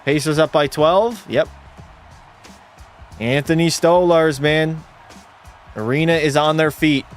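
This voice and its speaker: Male streamer calling hockey and chatting with viewers, a touch high-pitched, laid-back delivery. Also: takes it slowly, faint music behind. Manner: relaxed, drops into terse hockey call mode. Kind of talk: casual play-by-play commentary and chat reading.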